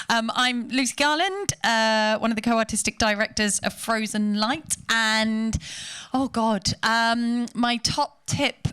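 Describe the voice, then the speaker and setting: A woman on a panel speaks hesitantly, laughing between her words. Her slow, clear, slightly high voice is dry, with no room sound. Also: a bit bright, no echo. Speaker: co-artistic director, panelist. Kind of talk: panel remarks.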